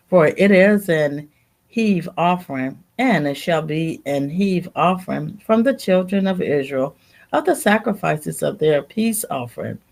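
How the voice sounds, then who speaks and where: Female Bible reader, unhurried and halting, with lively rises and falls in pitch and a creaky edge. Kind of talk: reading scripture aloud.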